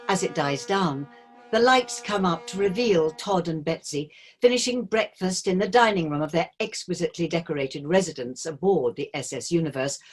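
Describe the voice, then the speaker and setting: A woman reading stage directions as the narrator of a play, in measured scripted narration. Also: fairly low pitch, breathy edge.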